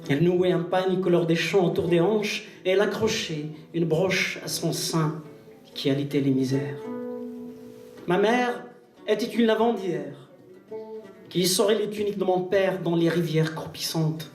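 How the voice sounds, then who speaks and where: A man recites poetry in a solemn, declamatory style, with measured phrasing and pauses. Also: high-pitched. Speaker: poet reciting. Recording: roomy, distant live recital recording. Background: plucked guitar music.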